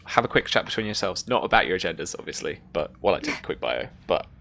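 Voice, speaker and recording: A man's voice: low-pitched, very animated, fast talker, vocal fry, fairly bright tone, slight background noise. A man running a tabletop game, talking casually to his players as game master.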